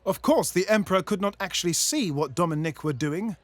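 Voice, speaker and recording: A male narrator tells a satirical fairytale. His voice is high for a man and very lively, moving up and down with expressive storybook flair. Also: a little fast.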